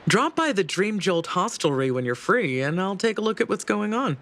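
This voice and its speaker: An actor playing a suave, teasing and philosophical acquaintance in a video game, with a theatrical, familiar manner. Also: male voice, high voice, very animated, normal speed, a bit bright. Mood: knowing, concerned. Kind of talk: scripted video game dialogue.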